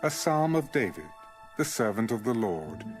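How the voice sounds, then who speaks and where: A man's voice: medium pitch, very animated, moderate pace. A man narrating an audio Bible in a scripted, dramatized scripture style. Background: background music.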